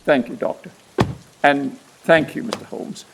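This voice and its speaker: A man playing a flustered, good-humoured police inspector in audio theatre, excitable and deferential. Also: mid-range voice, a little slow, vocal fry, noisy background. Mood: grateful, jovial.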